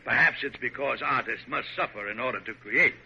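An actor playing a dignified American president, genial but easily exasperated, with emphatic, blustery delivery in a classic radio drama. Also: male voice, medium pitch, brisk, creaky, sharp tone. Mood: patient. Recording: noisy, muffled old radio recording.

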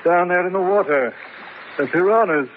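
A man's voice: sits high, lively intonation, normal speed. An actor playing an arrogant scientific genius husband in a campy horror radio drama, pompous and melodramatic in his delivery. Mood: eerie, knowing. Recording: muffled, noisy radio recording.

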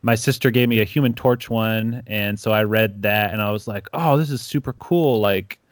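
Chatty young man, low voice. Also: moderate pace, no room sound, studio quality. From Ecuador. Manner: animated storyteller, acts out quotes, many "like"s. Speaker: comic creator guest. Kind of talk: casual interview chat.

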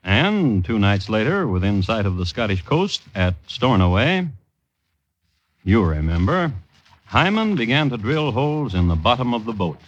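A man narrating a scripted old-time radio story in a wry, declamatory way with deliberate rhetorical phrasing. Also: lowish voice, very animated. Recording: old radio broadcast with music behind, dull. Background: music.

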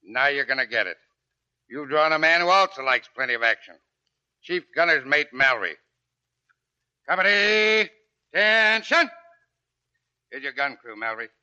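A man playing a brisk, commanding Navy officer in a 1940s radio drama. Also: mid-range voice, lively intonation, sharp tone, clean tone. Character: brisk, barking Navy officer addressing recruits. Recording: dull 1940s radio recording.

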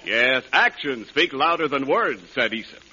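A man's voice: medium pitch, sing-song, moderate pace, pushed and bright. A male announcer delivering scripted advertising narration in a polished, emphatic 1940s radio style. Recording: dull, slightly noisy old radio recording.

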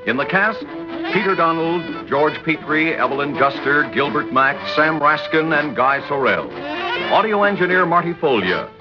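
A male announcer reads formally in vintage radio style. His slightly high voice has lively, rolling intonation. Music plays behind him on a muffled recording. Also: normal speed. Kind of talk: formal scripted credits announcement, 1960s radio.

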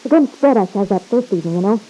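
An actress playing a breezy, wisecracking young nightclub hostess in an old-time radio serial. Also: female voice, mid-range voice, lively intonation, racing, mellow, natural voice. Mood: breezy, friendly. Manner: playful, wisecracking, casual. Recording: muffled old radio broadcast recording.

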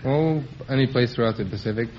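A man's voice: medium pitch, fast talker, darkish tone. A young man, a quiz contestant, giving plain, matter-of-fact answers in a radio interview. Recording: muffled old radio with faint noise.

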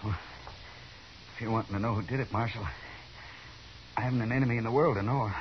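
A man's voice: mid-range voice, fairly even intonation, fairly quick, close to an everyday voice. An actor playing a wounded, guarded frontier townsman in a 1950s radio Western, weak and in pain, with an American accent. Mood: weak, evasive. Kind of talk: 1950s radio drama acting. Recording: muffled, hissy 1950s radio recording.

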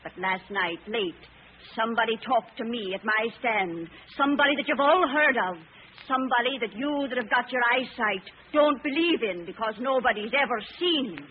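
A woman's voice: on the high side, animated, normal speed, natural voice. An actress playing a plain-spoken old woman who sells apples on the street, talking in folksy, ungrammatical speech in a 1940s radio drama. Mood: insistent. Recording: tinny, noisy vintage radio recording.

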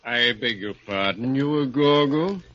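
A man voicing an earnest scientist who explains his experiments briskly in an old radio drama. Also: mid-range voice, close to an everyday voice. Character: earnest middle-aged male scientist doctor. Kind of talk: 1940s radio drama acting. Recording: dull old radio recording.

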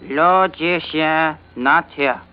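An actor playing a guarded Chinese shopkeeper with a put-on Chinese accent, speaking terse, halting pidgin in a 1940s radio drama. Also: male voice, a little high, smooth. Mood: guarded, stonewalling. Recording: muffled vintage radio recording.

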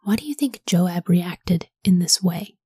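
Woman, soft husky whisper, Bible study reader, close and dry. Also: fairly low pitch, lively intonation, a little slow, no room sound. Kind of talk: whispered scripture reading with study questions.

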